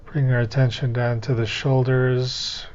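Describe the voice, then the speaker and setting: A man teaching a guided meditation, gently coaching step by step in an unhurried way with pauses. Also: medium pitch, flat delivery, muffled recording.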